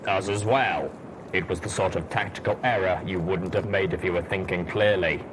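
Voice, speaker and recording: Guy doing a grim blunt undead pony soldier for a story, slow n solemn, really deep, noisy audio. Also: natural voice. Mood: stern. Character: grave, blunt male ghoul pony soldier.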